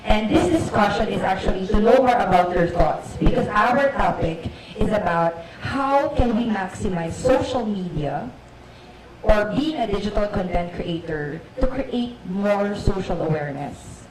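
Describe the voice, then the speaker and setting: A chatty, upbeat woman moderates a panel at an awards night, heard from a distance. Her voice rings through a very echoey hall. A loud crowd murmurs behind her. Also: medium pitch, animated, unhurried. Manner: upbeat, chatty, laughs often. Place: large events hall.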